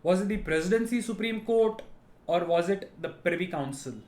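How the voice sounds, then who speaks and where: A middle-aged Indian man with a high-pitched voice, teaching a live online quiz class. He speaks English with an Indian accent over his native Tamil. He repeats each question, explains every term with patience and laughs easily with his students. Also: normal speed, a little mellow, echoey room. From Tamil-speaking family, raised in Gujarat.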